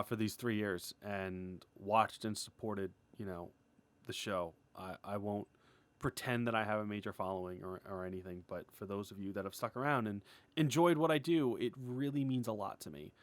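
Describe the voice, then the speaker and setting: A man giving a casual, unscripted creator update, rambling and excitable, starting and stopping his phrases as he goes. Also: medium pitch, no room sound. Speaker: animator and web series creator giving an update.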